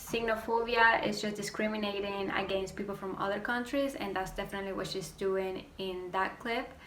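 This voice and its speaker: A young woman from Venezuela, with a Venezuelan accent and Spanish as her first language, ranting casually to camera with lots of fillers. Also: medium pitch. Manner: quick, animated storytelling with many fillers. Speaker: vlogger. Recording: roomy echo, distant mic, faint noise.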